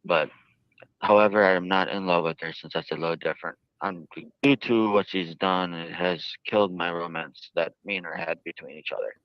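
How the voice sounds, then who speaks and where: A man with a very low, smooth voice gives earnest interview answers. His phrasing is halting and stiffly formal. The audio is close and dry but dull and lo-fi. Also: fairly even intonation, dry recording. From United States. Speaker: jail inmate being interviewed.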